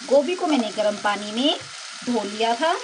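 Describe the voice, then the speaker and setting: A woman's voice: a little high, normal speed. Woman narrating cooking over sizzling pan. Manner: plain, steady explaining of each step. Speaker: cooking channel host. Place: home kitchen. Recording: clear kitchen cooking noise. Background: sizzling and stirring in a pan.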